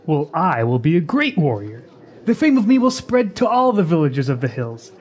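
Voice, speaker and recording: Man doing an eager lovesick braggart youth, husky voice swinging up and down, fantasy audio drama. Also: on the high side, big pitch swings, moderate pace. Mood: boastful. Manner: boastful, emphatic. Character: boastful lovesick young man, eager and easily frustrated. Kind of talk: fantasy radio drama acting.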